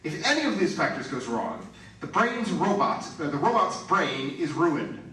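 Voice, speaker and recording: Man playing high-pitched, pompous robotics boss, very echoey. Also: sing-song, moderate pace, bright, forceful tone. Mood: grave, indignant. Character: officious, excitable male head of a robotics firm. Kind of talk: theatrical radio play acting. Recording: very echoey room, distant mic, faint noise.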